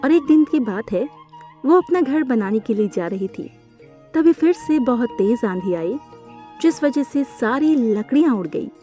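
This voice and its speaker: Woman, very high voice, scripted children's storytelling, narrator, music behind. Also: lively intonation, unhurried, dark tone.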